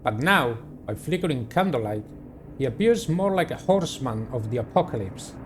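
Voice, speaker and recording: A man hosting a game playthrough, reading the story aloud in a dramatic, deliberate way and adding his own commentary. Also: mid-range voice, expressive, a little hiss or hum. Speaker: gaming video host. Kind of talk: reading visual novel narration aloud with personal commentary.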